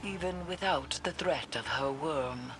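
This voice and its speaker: Portentous game priestess: deep female register, unhurried ceremonial delivery, solemn guide to a warrior. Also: noisy background. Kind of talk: scripted video game dialogue.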